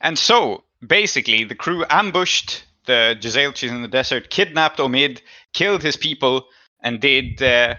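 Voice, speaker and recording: A man recapping a tabletop game session as game master in a conversational, halting way, pausing mid-phrase. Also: mid-range voice, bright, forceful tone, highs cut off.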